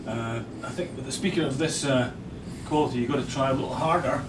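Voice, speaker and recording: A man in his fifties with a Scottish accent informally presenting a product as an exhibitor, explaining in a hesitant, unhurried way. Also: medium pitch. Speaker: loudspeaker company exhibitor. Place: small hotel exhibition room, indoors. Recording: roomy hotel room, light background noise.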